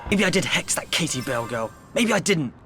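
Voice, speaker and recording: A man's voice: on the high side, very animated, fairly quick, bright, forceful tone, faint music behind. A man playing a defiant, sneering teenage wizard in a video game, haughty and petulant. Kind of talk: video game cutscene acting.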